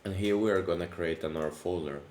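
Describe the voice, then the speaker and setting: A man with a very low voice, thickened by allergies, talks through a coding tutorial in a relaxed, chatty way, full of little fillers and restarts. He sounds like he is in an echoey room, with a faint hiss of noise behind him. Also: quick pace. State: voice deepened by allergy. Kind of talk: casual screen-recorded coding tutorial.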